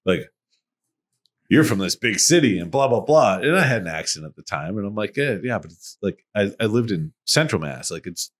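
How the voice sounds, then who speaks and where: A man's voice: lowish voice, sing-song, moderate pace. A man from Massachusetts co-hosting a podcast in a studio, telling a story in casual chat and swearing freely. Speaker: podcast co-host.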